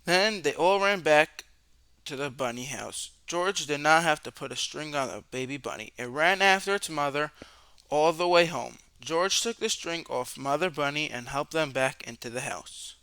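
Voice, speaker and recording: Guy reading a kids storybook out loud, really crisp voice, dry close sound, expressive with drawn out words. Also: fairly high pitch, no room sound. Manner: dramatic questions and drawn-out words for young listeners. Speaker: storyteller reading a children's book aloud. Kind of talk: expressive children's read-aloud narration.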